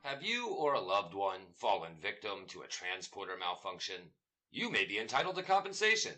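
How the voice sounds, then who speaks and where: A man's voice: lowish voice, huge pitch swings, fairly quick, pushed and bright, soft background music, full character voice. A man playing a slick, earnest lawyer-ad announcer in a sci-fi parody commercial voice-over. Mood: earnest sales pitch. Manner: earnest, salesmanlike.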